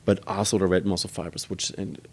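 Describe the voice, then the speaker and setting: A man's voice: low-pitched, level tone, fairly quick. A man answering interview questions about his gym, explaining step by step with frequent ums and uhs. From Germany. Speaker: gym owner and trainer.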